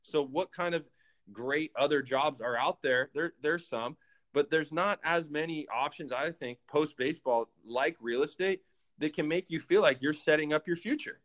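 A man's voice: fairly high pitch, normal speed, fairly bright tone. A man in his thirties, a former pro ballplayer, in casual conversation, animating his stories by acting out his own thoughts. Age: 30s. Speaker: former pro baseball player.

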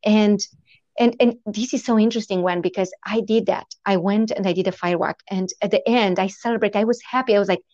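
A woman in her forties with a foreign accent, not a native English speaker, telling her story as an interview guest in a lively, animated way. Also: mid-range voice, a little fast, no room sound, muffled recording. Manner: animated, lively storytelling with quoted inner thoughts.

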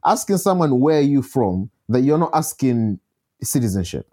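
Man, mid-pitched voice, emphatic, interrupting, repetitive phrasing, casual podcast panel debate. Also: sing-song, normal speed, mellow. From born in Malawi.

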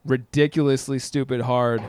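A man's voice: medium pitch, little pitch movement, normal speed, a little mellow, clear, resonant tone, no echo, studio quality. A man co-hosting a podcast, chatting casually and enthusiastically with plenty of slang. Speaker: podcast co-host. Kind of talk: casual chat.

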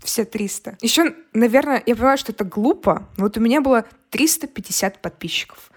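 A young woman answering casually as a podcast guest, expressive and informal, acting out her own inner dialogue. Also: fairly high pitch, brisk, slightly rough. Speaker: podcast guest, singer and content maker. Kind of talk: casual conversational interview answers.

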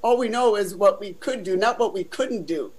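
An older woman from Southern California with a deep voice, telling her life story in a casual, chatty interview full of "you know" fillers. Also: moderate pace, darkish tone, a little hiss or hum, low-quality recording.

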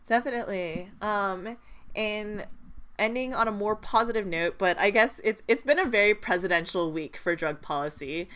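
A woman's voice: mid-range voice, normal speed, a bit bright. A woman co-hosting a podcast, giving casual news commentary in a conversational way with plenty of "like". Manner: conversational, peppered with "like". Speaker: podcast co-host.

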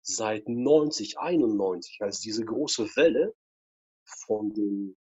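Interview guest, forties, husky voice, rambling storyteller, muffled recording. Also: male voice, on the low side, expressive, slow pace, warm tone. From former Soviet Union. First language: Russian. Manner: rambling with many fillers and tag questions. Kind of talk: casual personal storytelling.

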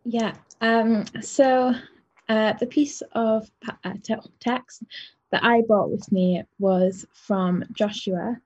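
Young woman, from Wales, panellist, husky, hesitant, lots of ums. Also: a little high, steady tone, takes it slowly, a little mellow, dull audio. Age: young adult. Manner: hesitant, with many ums and false starts. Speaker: youth church panellist.

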